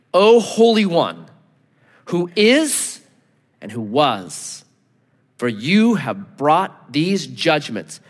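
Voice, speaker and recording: A man preaching a sermon as a pastor, earnest and emphatic, dropping to low, intense tones for weight. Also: a bit bright. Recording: long hall reverb.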